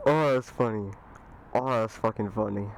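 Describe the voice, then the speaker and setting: Laid-back man chatting over clear outdoor noise. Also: lowish voice, normal speed. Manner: laid-back, easygoing, laughs often, swears casually. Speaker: vlogger riding an electric scooter. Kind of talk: casual chat. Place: outdoors by a street. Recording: noisy outdoor recording with vehicle sounds.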